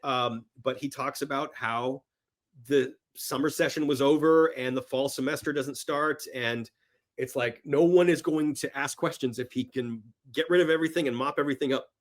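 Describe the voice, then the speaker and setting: A man as a podcast guest chatting casually and enthusiastically about films, telling stories in an animated, emphatic way. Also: mid-range voice, normal speed. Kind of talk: enthusiastic casual film chat.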